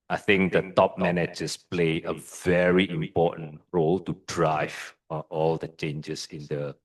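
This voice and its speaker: A man with an Indonesian accent, a guest lecturer answering audience questions in a measured, hesitant way with frequent fillers and restarts. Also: very deep voice, slightly rough, poor audio.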